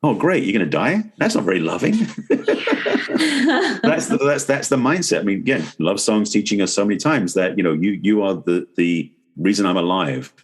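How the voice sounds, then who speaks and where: A man's voice: deep voice, sing-song, moderate pace, slightly rough. A middle-aged man explaining things conversationally as an expert guest in an interview, often rephrasing as he goes. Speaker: podcast guest, relationship expert and author.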